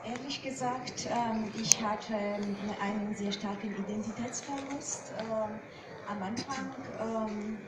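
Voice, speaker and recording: A woman from Georgia, speaking German with a Georgian accent, answering slowly with many fillers as a guest poet on stage. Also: a little high, fairly even intonation. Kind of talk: reading and interview at a book fair. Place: large hall with screen, seated on stage. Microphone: far phone camera in audience. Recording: echoey hall, far off, noisy.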